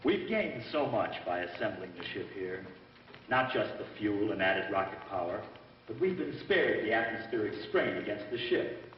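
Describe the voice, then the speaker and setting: An actor playing an earnest, assured space scientist in a dubbed vintage science fiction film. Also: male voice, on the low side, expressive, moderate pace, a little hiss or hum, lightly acted. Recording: distant, muffled, reverberant old film soundtrack.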